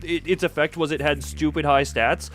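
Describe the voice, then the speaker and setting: A man livestreaming a game with casual, excitable commentary, rambling and thinking aloud to himself. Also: fairly high pitch, normal speed, a little hiss or hum. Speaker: game streamer. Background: music.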